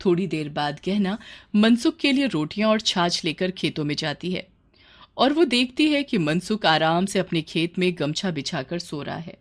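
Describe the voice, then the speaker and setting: A woman's voice: on the low side, very animated, moderate pace. A woman storyteller reading scripted narration for a moral tale.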